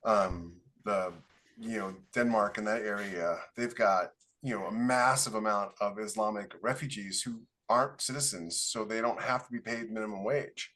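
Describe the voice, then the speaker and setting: A man's voice: fairly low pitch, moderate pace, a bit bright. A man with an American accent talking as a podcast co-host, explaining his points informally in a casual panel discussion.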